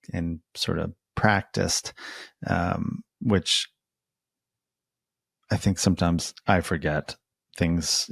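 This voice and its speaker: A man's voice: deep voice, vocal fry, no echo, clean studio sound. A man interviewing in a casual, conversational way, reflective and rambling, often hedging as he goes. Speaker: interviewer who works as a therapist.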